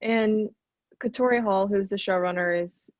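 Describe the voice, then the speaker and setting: A woman's voice: medium pitch, moderate pace, no room sound, lo-fi sound. Easygoing woman with a light Arkansas accent. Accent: light Arkansas Southern. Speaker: actor, interview guest. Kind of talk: casual interview chat.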